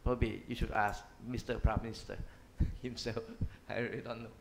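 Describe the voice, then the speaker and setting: A man on a discussion panel offering wry, amused remarks. His voice sits at a middle pitch, and there is faint room noise behind him. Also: flat delivery, normal speed, gravelly. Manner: wry, chuckling asides. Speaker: panel speaker.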